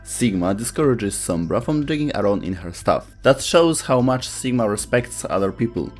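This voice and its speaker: A man's voice: mid-range voice, expressive, normal speed. Man narrating a scripted explainer over music. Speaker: video narrator.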